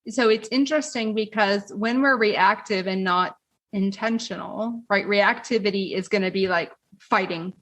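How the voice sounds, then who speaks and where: A woman's voice: mid-range voice, normal speed, a bit bright. A woman who is an executive coach, a podcast guest talking reflectively and earnestly, sometimes stammering with repeated words. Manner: earnest, stammering repeats when reaching for a thought. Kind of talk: reflective conversation.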